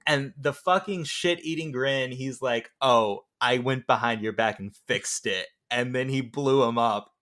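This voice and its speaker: Man, medium pitch, casual podcast chat, animated retelling, freely profane. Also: measured pace, hardly any pauses.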